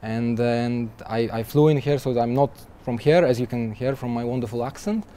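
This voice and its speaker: Young male developer guest, warm mellow voice, easygoing interview talk that trips over itself. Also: mid-range voice, moderate pace, slight background noise. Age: young adult. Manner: chatty, restarts often with repeated words, laughs lightly. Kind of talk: conversational interview answers. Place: conference interview booth, seated in armchair.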